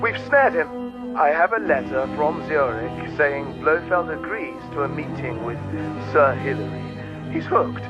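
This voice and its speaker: Muffled sound under loud music carries a herald in a radio play. He is fairly high in pitch, crisp, eager and pleased with himself. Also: animated, measured pace. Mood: gleeful, triumphant. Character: gleeful, eager, well-spoken male heraldry expert. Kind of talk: BBC radio drama acting.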